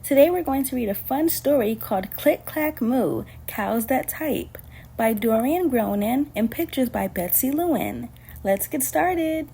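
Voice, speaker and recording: Casting: female children's storyteller, higher-pitched, slightly husky, very expressive and deliberate. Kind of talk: expressive children's picture book read-aloud.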